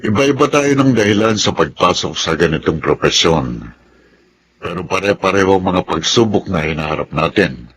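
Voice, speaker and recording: A man's voice: deep voice, expressive, normal speed, a bit bright, slight background noise. An actor playing a seasoned, understanding Filipino army officer in a radio drama, fatherly and reflective.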